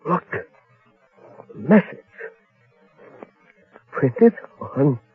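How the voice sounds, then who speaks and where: An actor playing a jittery newspaper office man with a husky voice, in old-time radio style. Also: a little high, big pitch swings, very slow, no echo, exaggerated voice. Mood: horrified. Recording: tinny, narrow old radio recording.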